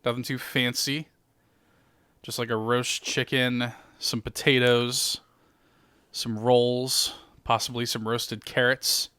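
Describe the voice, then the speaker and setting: A man's voice: mid-range voice, level tone, slow pace, bright, forceful tone, clean tone, dry recording. A young man hosting a podcast, upbeat and jokey, laughing often while he chats. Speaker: podcast host. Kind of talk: casual chat.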